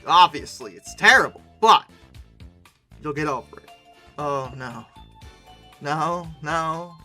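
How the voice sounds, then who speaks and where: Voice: medium pitch, takes it slowly, pushed and bright. A commentator giving humorous, ranting reaction commentary in a theatrical, exasperated, sardonic way, repeating phrases for emphasis. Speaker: host of a paleoart commentary video. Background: background music.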